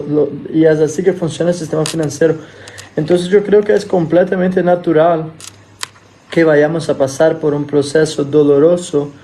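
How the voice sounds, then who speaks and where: A man co-hosting a finance podcast in casual discussion, a non-native Spanish speaker who sometimes pauses to search for words and explains with examples. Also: a little high, mellow. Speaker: podcast co-host.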